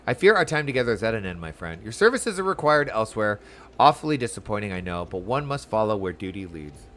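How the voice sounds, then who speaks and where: A man's voice: lowish voice, big pitch swings, quick pace, a bit bright, slight background noise, pitched below the performer's own voice. A man reading aloud the lines of a formal, sardonic fantasy game leader in stately, archaic phrasing. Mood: dutiful.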